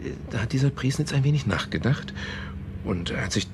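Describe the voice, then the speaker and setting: An actor playing a man who earnestly and haltingly retells a story, in a naturalistic German radio play. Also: medium pitch, animated, slight background noise, close to an everyday voice. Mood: absorbed storytelling. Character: man haltingly retelling a novel to a sceptical woman.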